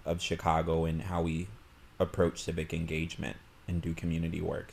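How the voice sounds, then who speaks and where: A man from Illinois explains his media role in a calm, thoughtful introduction. His voice is very low, and he talks in a measured, earnest way with a few pauses. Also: vocal fry. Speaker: digital media producer. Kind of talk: casual group introduction.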